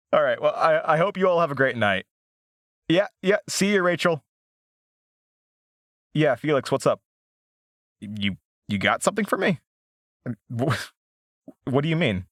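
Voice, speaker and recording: A man acting as an earnest, reflective radio show host in an audio drama, speaking in a measured way with pauses. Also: a little high, animated, fairly bright tone, dry recording, clean studio sound. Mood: relieved, casual.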